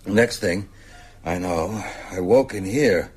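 Very husky older scholarly man. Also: sits low, slow pace, soft background music, heavily acted. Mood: shaken. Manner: precise, bookish. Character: ailing older male teacher, scholarly, shaken but resolute. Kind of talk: radio drama acting.

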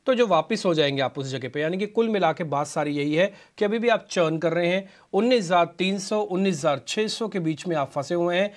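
A man with a slightly high voice walks viewers through chart levels on live TV. He speaks in an instructive way, telling the audience what to watch. He is on a lapel mic in a studio. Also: animated, brisk, hardly any pauses. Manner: instructive, addresses viewers directly. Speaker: market analyst. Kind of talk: technical chart analysis on live TV. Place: TV news studio.

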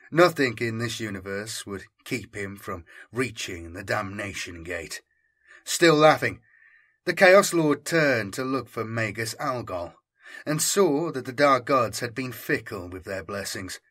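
British man narrating in a bright tone. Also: medium pitch, husky. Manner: slow, weighty, dramatic delivery. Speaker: audiobook narrator and channel host. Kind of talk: dramatic fiction reading.

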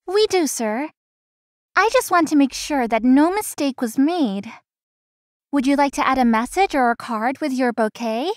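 A woman's voice: high voice, animated, unhurried, no echo, clean studio sound. A woman voicing a polite, hard-working young florist in a visual novel game. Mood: polite, cheerful.

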